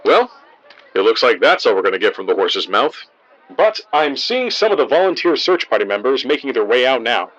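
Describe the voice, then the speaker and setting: A man's voice: mid-range voice, very animated, fairly quick, fairly bright tone. A man playing an eager, pushy TV news field reporter, delivering a live report in an audio drama. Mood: excited, urgent. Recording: dull sound with clear noise.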